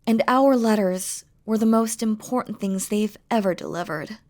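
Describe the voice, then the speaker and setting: A woman's voice: medium pitch, moderate pace, a little mellow, close to an everyday voice. A woman playing a doting, playful demon queen in an intimate romantic audio roleplay, speaking tenderly and teasingly in formal, regal phrasing. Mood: fond, proud.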